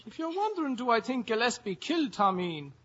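An actor playing a sly Irish villager who feigns grief in a hammy, hesitant way, in a 1950s radio comedy-drama. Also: male voice, very high-pitched, very animated, fairly quick, bright, forceful tone, full character voice. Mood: mock grief. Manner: hammy, hesitant mock-sorrowful talk. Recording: dull, lo-fi 1950s radio recording.

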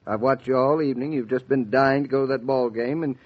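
Young American husband for 1940s radio play man acting, medium pitch, conversational, patient with a touch of exasperation. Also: fairly even intonation, fast, natural voice. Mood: insistent. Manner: explanatory, hesitant, conversational. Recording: dull, lo-fi 1940s radio recording.

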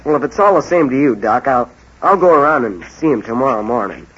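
A sheepish young small-town troublemaker with an American accent. His voice is husky and hesitant, plain and contrite, in a muffled old-time radio drama recording. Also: mid-range voice, lively intonation, fairly quick, fairly bright tone. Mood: earnest, grateful.

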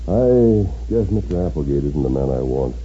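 A man's voice: extremely low pitch, big pitch swings, normal speed, mellow. A man playing a commanding masked western hero in a 1950s radio drama. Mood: probing, calm. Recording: muffled old radio recording, faint noise.

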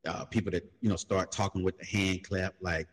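A man in a casual panel talk, laid-back and storytelling, who jumps into loud high mocking voices when he quotes people. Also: flat delivery, brisk, husky. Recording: long hall reverb.

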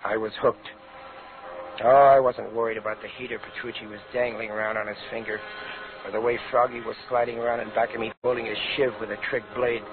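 A man's voice: mid-range voice, little pitch movement, fast, narrow, tinny audio, close to an everyday voice. An actor playing a weary, tough middle-aged safecracker and father in 1950s radio crime drama, with clipped, slangy talk. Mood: hard-boiled, reflective. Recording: noisy, band-limited old radio broadcast.

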